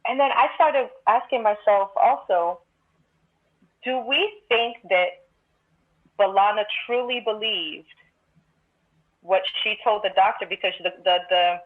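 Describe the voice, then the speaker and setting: A woman from Brooklyn talks as a podcast guest, thinking out loud in a reflective way with many restarts. Her mid-pitched voice comes through a thin, phone-like remote call line. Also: unhurried, pauses often. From Brooklyn, New York, United States. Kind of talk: casual podcast conversation.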